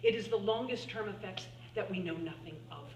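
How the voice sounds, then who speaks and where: A woman's voice: mid-range voice, close to an everyday voice. A woman playing an earnest scientist and writer, giving a formal, emphatic speech to lawmakers in a dramatic monologue. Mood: grave, foreboding. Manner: deliberate, emphatic, oratorical. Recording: echoey room, distant mic, faint hum.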